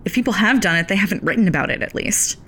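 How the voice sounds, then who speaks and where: Woman acting skeptical magic advisor, mid-pitched, lively intonation, measured, audio drama. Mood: thoughtful, skeptical.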